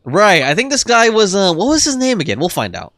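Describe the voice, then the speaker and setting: A woman's voice: medium pitch, huge pitch swings, a little fast, a bit bright. A young woman giving casual, slangy commentary as she plays a game. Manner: slangy, informal, musing. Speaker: gamer commenting on a playthrough.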